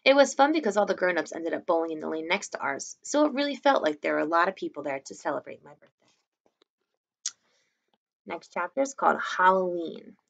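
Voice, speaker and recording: A woman's voice: lowish voice, sing-song, a little fast, vocal fry, lo-fi sound. A young woman reading a children's novel aloud expressively, like a teacher to her students, in a small room at home. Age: young adult.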